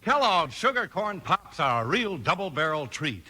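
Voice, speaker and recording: A male announcer from 1950s radio reads scripted narration. The voice sits rather high and is emphatic and dramatic. Music plays clearly behind it on an old broadcast recording. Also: big pitch swings, moderate pace, sharp tone.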